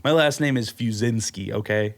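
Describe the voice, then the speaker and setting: A young man, hosting a podcast in casual chat, blunt and quick to laugh. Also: fairly low pitch. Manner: animated, laughing, blunt and joking. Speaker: podcast host.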